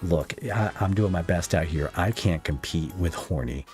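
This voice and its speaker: A man hosting a casual podcast interview, speaking in a halting, jokey way. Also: low-pitched, a little mellow. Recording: music playing behind the talk. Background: background music.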